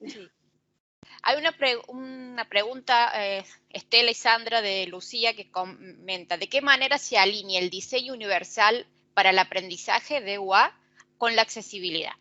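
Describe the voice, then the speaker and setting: A woman moderating a webinar, reading out questions and passing turns briskly. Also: medium pitch, bright, forceful tone, dull audio.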